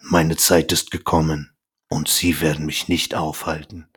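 A deep, menacing vampire lord as a man puts him on, cold and threatening. He speaks in slow, deliberate phrases, well below the performer's usual pitch. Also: little pitch movement, slightly rough, heavily acted. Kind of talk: acted quote from an audio drama.

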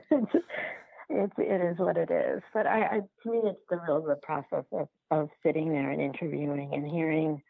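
Phone-line woman, low creaky voice. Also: steady tone, normal speed, dry recording. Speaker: documentary filmmaker being interviewed. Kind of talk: casual interview conversation. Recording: phone-like narrow-band line.

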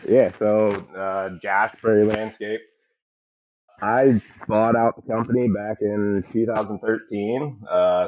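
A man's voice: deep voice, a little mellow, lo-fi sound. A guest man from Illinois, a landscaping business owner, talking in a laid-back, plain-spoken way on a podcast. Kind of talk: casual chat.

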